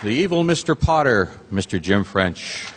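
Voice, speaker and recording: A chatty, joking man introduces the cast from a theater stage, and his voice rises and falls a lot. The hall rings with a long echo, and a loud audience murmurs underneath. Steady noise sits under everything. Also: lowish voice, normal speed, a loud crowd. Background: audience noise and laughter.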